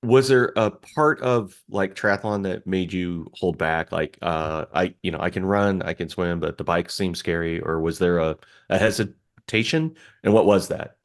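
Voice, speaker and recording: A man's voice: deep voice, moderate pace. A man co-hosting a sports podcast who asks questions and drops playful jokes. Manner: joking, playful asides. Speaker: podcast co-host. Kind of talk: casual interview.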